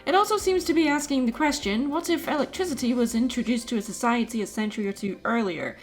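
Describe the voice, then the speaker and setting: A woman's voice: a little high, fast, faint music behind. A woman giving opinionated film commentary as a video essayist, conversational with exclamatory asides.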